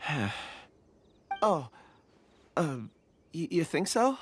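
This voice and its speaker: A man's voice: a little high, sing-song, extremely slow, a little hiss or hum, exaggerated voice. A man playing a mild, thoughtful wanderer in a video game, with a reflective, slightly hesitant way of talking. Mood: unsteady, hesitant. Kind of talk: video game character acting. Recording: music behind the voice.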